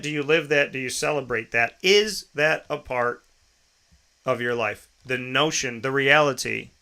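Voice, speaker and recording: An American man in his thirties delivers a motivational, personal monologue as a show host. His voice is mid-pitched with a bright, forceful edge. He leans on key phrases, repeating them and posing questions straight to the listener. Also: vocal fry. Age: 30s. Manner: emphatic, repeats phrases and poses rhetorical questions.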